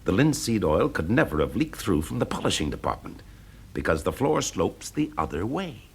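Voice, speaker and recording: An actor playing a shrewd, methodical British fire investigator with clipped, probing questions in a classic radio drama. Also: male voice, on the low side, very animated, moderate pace, vocal fry, slightly rough, exaggerated voice. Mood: purposeful, reasoning.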